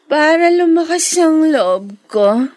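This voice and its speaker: A young Filipina daughter, naive and anguished. Her voice is very high and moves slowly, with a plaintive, halting delivery. It is emotional radio drama acting, recorded close and dry. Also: expressive, a little mellow, no echo. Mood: drunk, giddy. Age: young adult.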